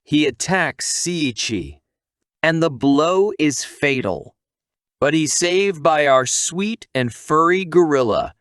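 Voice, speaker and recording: A man's voice: fairly high pitch, lively intonation, slow, fairly bright tone, no echo, clean studio sound. A man narrating a scripted comic story recap in a conversational, wisecracking way, with asides to the listener.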